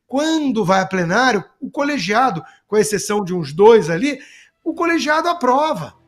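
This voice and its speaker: A man's voice: high-pitched, sing-song, normal speed. A man with a Brazilian accent giving off-the-cuff political commentary, sarcastic, emphatic and colloquial. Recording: music playing behind the voice. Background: music.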